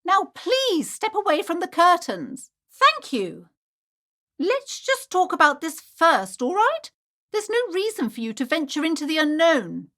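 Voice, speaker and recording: A fussy, haughty woman who keeps a bookshop in a video game. Her voice is high and swings dramatically up and down. She speaks with formal, theatrical politeness and an anxious, superstitious edge. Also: wildly swooping pitch, a little slow, pushed and bright, full character voice. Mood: anxious, pleading. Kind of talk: acted video game dialogue.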